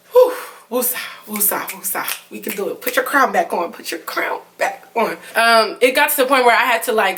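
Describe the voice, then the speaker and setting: Young woman giving candid relationship advice. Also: a bit bright. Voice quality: lower voice for a woman. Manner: earnest and confiding, many fillers. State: emotional, near tears. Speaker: vlogger.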